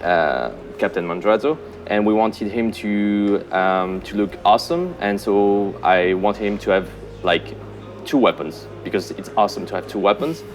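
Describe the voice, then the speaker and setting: Relaxed low-voiced man, halting answers. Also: faint music behind. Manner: relaxed, many ums and uhs, self-deprecating humor. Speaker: senior game producer. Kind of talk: casual interview answers.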